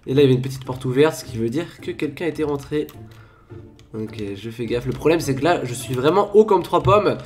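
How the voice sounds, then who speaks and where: A man's voice: medium pitch, a little fast, faint music behind. A man with a stuffy, congested voice giving chatty, playful Minecraft commentary as a gaming video creator. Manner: chatty, playful, bursts into laughing shouts. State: stuffy with a cold. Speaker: gaming YouTuber.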